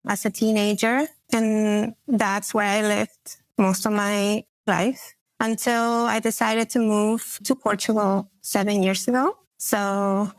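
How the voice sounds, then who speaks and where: A woman's voice: medium pitch, steady tone, slow, hardly any pauses, dry recording, rough recording. A woman in her forties from Peru, a podcast guest telling personal stories in an earnest, reflective way with frequent fillers. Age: 40s. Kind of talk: personal storytelling in an interview.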